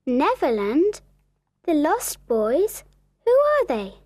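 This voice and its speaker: A woman voicing Wendy, an excited young girl, in a storybook reading for learners. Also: slow, dry recording, full character voice. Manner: high, lively, wide-eyed delivery.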